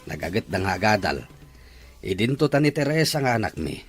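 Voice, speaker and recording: Lalaki nga agak-akto iti nababa ti timekna nga uliteg. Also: male voice, on the low side, very animated, brisk, breathy edge, faint music behind, exaggerated voice. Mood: worried. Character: older uncle, a worried father of a wayward daughter. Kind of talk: Ilocano radio drama acting.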